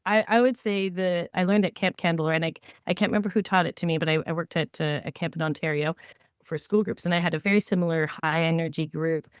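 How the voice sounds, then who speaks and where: A woman hosting a podcast, talking conversationally and explaining with animated examples. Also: on the low side, fast, smooth. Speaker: podcast host. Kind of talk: conversational training discussion.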